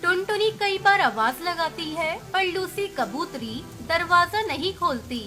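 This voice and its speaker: A woman narrates a children's animal tale from a script. Her voice is extremely high, and music plays clearly behind the storytelling. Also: animated, a little slow, bright, forceful tone.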